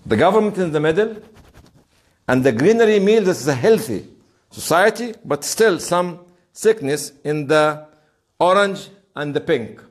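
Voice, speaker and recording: Animated man lecturing a class. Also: a little high, a little slow. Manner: emphatic storytelling with rhetorical questions. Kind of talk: informal interactive classroom lecture.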